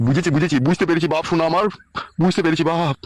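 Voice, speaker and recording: A man voicing a grumpy, foul-mouthed older father-in-law in a comic cartoon dub, grumbling excitably. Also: on the high side, racing, slight background noise, close to an everyday voice. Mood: panicked pleading.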